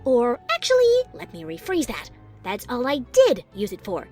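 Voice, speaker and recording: A man's voice: extremely high pitch, huge pitch swings, moderate pace, creaky, a little mellow, faint music behind, voice pushed up, heavily acted. A man voicing an exasperated, sarcastic pony mare sorceress who scolds with biting sarcasm. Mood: scornful.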